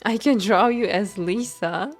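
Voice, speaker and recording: A woman streamer chats casually while commenting on a game. She is not a native English speaker and talks at a slow pace, playful and expressive, with soft music in the background. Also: medium pitch. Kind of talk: casual live game commentary, chatting with viewers.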